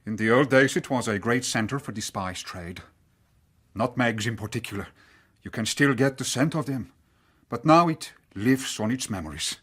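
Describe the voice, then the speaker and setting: A man's voice: medium pitch, lively intonation, normal speed, a bit bright, husky. Good-natured young man. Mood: wistful. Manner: precise foreign phrasing, earnest. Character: big young Danish trader, open, good-natured, bookish and enthusiastic. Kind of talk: radio drama acting.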